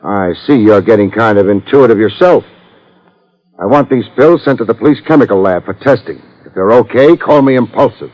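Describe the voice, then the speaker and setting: An American actor playing a wry, tough private detective in clipped, sardonic 1950s radio style. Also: male voice, lowish voice, fairly quick, a little mellow, close to an everyday voice. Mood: urgent, sardonic. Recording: muffled old radio recording.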